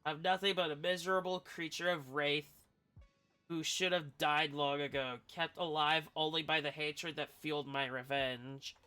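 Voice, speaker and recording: A man's voice: on the high side, fairly even intonation, normal speed, pushed and bright, smooth. A man who streams games, reading story text aloud and adding casual commentary. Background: video game music.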